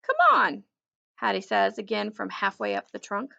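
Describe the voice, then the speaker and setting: A woman reading a children's book aloud as an expressive narrator. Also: mid-range voice, normal speed, gravelly, muffled recording. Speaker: storybook reader.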